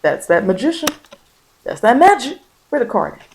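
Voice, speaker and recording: A woman's voice: a little high, sing-song, moderate pace, gravelly, a little mellow, a little hiss or hum. A woman giving an informal, encouraging tarot reading in ASMR style, speaking colloquially and repeating phrases for emphasis. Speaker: tarot card reader and host. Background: bird and rain sounds.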